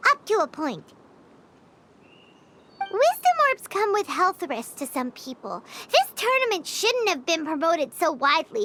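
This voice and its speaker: Tiny chirpy fairy sidekick, extremely high squeaky voice, animated swings, earnest, video game, noisy capture. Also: female voice. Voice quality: squeaky, childlike. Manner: earnest, refers to self in third person.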